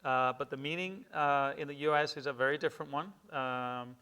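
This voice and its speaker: A middle-aged man from Peru, a native Spanish speaker, giving a public lecture in a measured, hesitant way with many fillers and restarts. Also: fairly high pitch, fairly even intonation, pushed and bright, smooth. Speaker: guest lecturer. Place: lecture hall with an audience.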